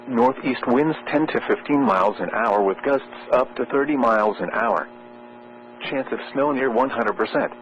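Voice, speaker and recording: Muffled synthetic male weather radio announcer. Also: medium pitch. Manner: formal, measured synthetic announcer delivery. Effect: weather radio transmission. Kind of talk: scripted weather forecast and warning readout. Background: steady electrical hum.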